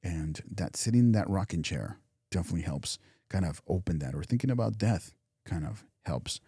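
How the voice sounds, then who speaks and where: A man co-hosting a podcast, talking casually and reflectively, often restarting phrases and dropping softer for imagined inner thoughts. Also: low voice, expressive, moderate pace, breathy edge, no echo, clean studio sound. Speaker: podcast co-host. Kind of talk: casual motivational chat.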